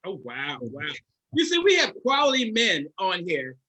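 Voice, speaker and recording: High, bright-voiced playful male host. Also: wildly swooping pitch, moderate pace, slight background noise. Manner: playful, laughing, upbeat. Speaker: dating coach host. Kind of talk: casual video-call chat.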